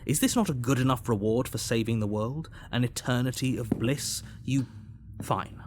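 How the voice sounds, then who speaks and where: A man playing an ominous narrator in a visual novel, speaking in a deliberate, formal and sardonic way with controlled exasperation. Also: medium pitch, noisy background, lightly acted. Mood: wounded, exasperated. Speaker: game narrator. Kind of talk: scripted second-person narration in a visual novel. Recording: music behind the voice.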